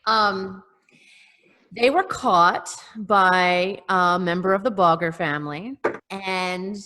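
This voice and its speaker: A woman co-hosting a casual chat on a video call, telling local news in a hesitant, pausing way. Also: medium pitch, pushed and bright. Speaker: podcast co-host.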